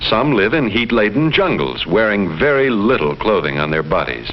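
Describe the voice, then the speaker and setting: An actor playing a detached alien explorer, reporting on human life in formal, measured 1950s educational film narration. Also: male voice, on the low side, very animated. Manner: formal, measured, clinical phrasing. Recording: muffled vintage film sound, music behind. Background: loud music.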